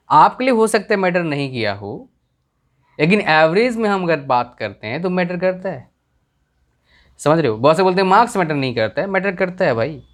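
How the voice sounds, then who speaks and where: A young man whose first language is a village tongue, giving earnest career advice in a casual talk, often checking if the listener follows. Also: a little high. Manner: earnest, repeats questions to the viewer.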